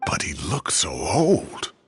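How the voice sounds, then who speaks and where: An actor playing an indignant, grumbling retired professor of advanced age in a video game, with theatrical exclamations. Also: male voice, extremely low pitch, wildly swooping pitch, slow, exaggerated voice. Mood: surprised, chatty. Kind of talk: video game character acting. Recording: background music under the voice.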